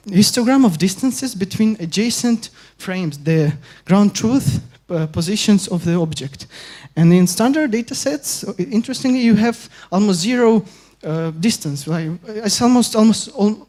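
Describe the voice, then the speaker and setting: Young man from Ukraine, hesitant academic presenter, warm darker voice. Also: high voice. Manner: halting, many filler pauses and restarts. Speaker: conference speaker. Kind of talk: academic conference talk.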